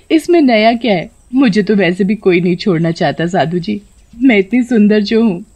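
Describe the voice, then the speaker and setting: A woman's voice: mid-range voice, lively intonation, a little slow, a little mellow, slightly rough. A woman voicing a vain, mocking young college woman who teases haughtily and laughs often. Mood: teasing, vain. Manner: haughty, teasing, breaking into laughter.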